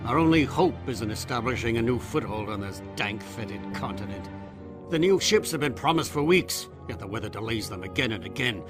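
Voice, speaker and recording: A man's voice: mid-range voice, natural voice. Proud, theatrical naval commodore, acted by a man. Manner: formal, theatrical military declamation. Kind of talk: dramatic game cutscene monologue.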